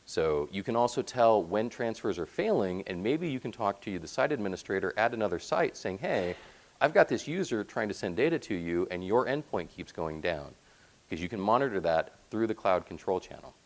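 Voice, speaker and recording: A man with a deep, resonant voice presenting technical slides at a conference, explaining things in a plain, informal way. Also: expressive, normal speed. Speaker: conference presenter, software platform team member. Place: presentation room, speaking to slides.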